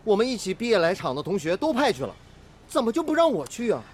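A man's voice: sits high, huge pitch swings, fast talker, slight background noise. A man acting an earnest, eager young factory apprentice in a radio drama, speaking with deference. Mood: anxious. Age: young adult.

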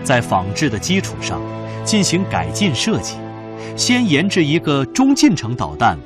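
Expressive male narrator over music. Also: fairly high pitch, normal speed. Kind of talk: scripted dramatic documentary narration for radio drama. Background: background music.

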